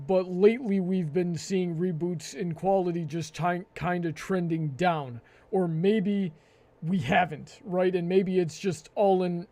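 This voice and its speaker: A man hosting a live panel discussion, with a hesitant, halting delivery that often stammers and repeats words. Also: sits high, mellow. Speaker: host.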